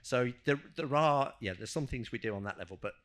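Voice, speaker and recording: A man's voice: medium pitch, brisk, fairly bright tone. A man speaking as a scientist on a discussion panel, informal and conversational, often restarting his sentences as he works out an answer. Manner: hesitant, self-correcting, conversational. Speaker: scientist on a panel, fielding questions. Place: live agricultural conference panel session.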